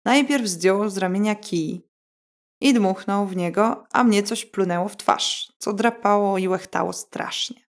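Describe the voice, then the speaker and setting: A woman's voice: medium pitch, unhurried, natural voice. Woman voicing a boastful, naive male wolf. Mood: battered, amazed. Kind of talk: bedtime fairy tale storytelling.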